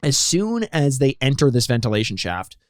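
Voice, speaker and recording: A man's voice: medium pitch, sing-song, moderate pace, background noise. Man, podcast co-host, casual film chat, mid-range voice, joking, sweary, storyteller. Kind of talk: casual movie review chat.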